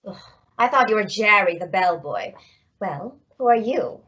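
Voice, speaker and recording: A woman's voice: mid-range voice, lively intonation, measured pace, pushed and bright, husky, exaggerated voice. A woman playing a weary, irritable, wealthy married woman in old-time radio drama style. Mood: weary, annoyed. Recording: dull lo-fi recording.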